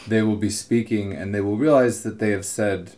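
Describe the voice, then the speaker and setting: A young man co-hosting a casual podcast, telling little stories with a wry, animated delivery. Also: on the low side, normal speed. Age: young adult. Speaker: podcast co-host. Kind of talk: casual chat.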